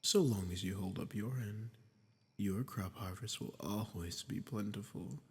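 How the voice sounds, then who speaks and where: A man playing a smug, dominant archdemon in a roleplay audio drama, teasing and condescending, with theatrically drawn-out phrasing and a husky, breathy voice. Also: on the low side, darkish tone, big-room sound. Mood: smooth persuasion. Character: smug, dominant male archdemon toying with humans.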